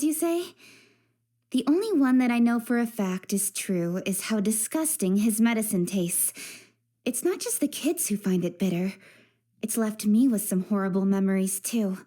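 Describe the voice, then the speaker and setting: A woman playing a confident, outspoken young female official in a fantasy game, with a measured, opinionated delivery. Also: on the high side, dark tone, close to an everyday voice. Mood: candid, wry. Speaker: voice actor in English game dub. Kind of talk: scripted game character voice lines.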